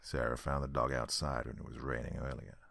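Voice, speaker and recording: A man playing an easygoing, caring survivor in an audio drama, with a gravelly voice and a folksy, reassuring manner. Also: extremely low pitch, level tone, fairly quick, fairly bright tone, dry recording, clean studio sound, exaggerated voice. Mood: calm, caring. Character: Abe, easygoing male survivor, a caring friend.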